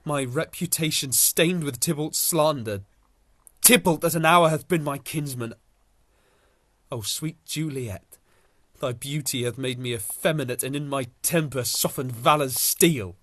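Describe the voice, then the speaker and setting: A man's voice: on the high side, unhurried, a bit bright, dry recording. A man playing a passionate, remorseful young lover turned avenger, speaking verse earnestly in a Shakespeare audio drama. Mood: earnest, regretful. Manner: earnest, declamatory verse delivery.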